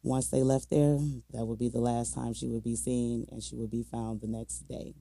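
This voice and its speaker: A man's voice: mid-range voice, level tone, moderate pace, gravelly, warm tone, dry recording. An American man co-hosting a true crime podcast, telling the case casually in slangy, colloquial language. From Minnesota, United States.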